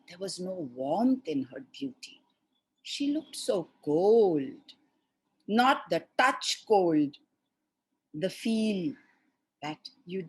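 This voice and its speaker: A woman telling a fairy tale to children in an expressive style, with deliberate, dramatic pauses between short phrases. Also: medium pitch, slightly rough. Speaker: storyteller for children.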